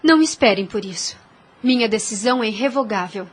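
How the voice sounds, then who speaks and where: A woman playing a devout, self-sacrificing young woman in an audio drama, earnest and formal, gentle yet firm. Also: on the high side, expressive, brisk. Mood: calm, resolute.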